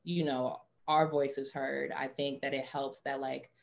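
Low-pitched young woman, casual and informal. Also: flat delivery, unhurried, gravelly. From Atlanta, US. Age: young adult. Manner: informal, heavy use of "like". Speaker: interview guest, sports marketing professional. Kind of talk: casual conversational interview.

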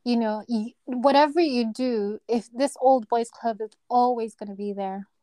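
A soft-voiced woman in her thirties with a Kenyan accent, speaking a little high for a woman. She talks in a loose, chatty way, laughs easily and stops to hunt for words. The sound is dry and close, with no room around it. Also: moderate pace, no echo. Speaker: guest, neurosurgery registrar. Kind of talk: casual interview chat.

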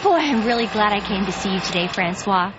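A woman's voice: medium pitch, animated, fairly quick, natural voice. An actress playing a sincere, reflective teenage girl in a radio drama. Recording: dull radio recording with loud noise.

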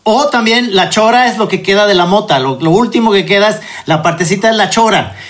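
Mexican male host, high-pitched voice, relaxed casual conversation style. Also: brisk. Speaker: show host. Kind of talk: casual chat.

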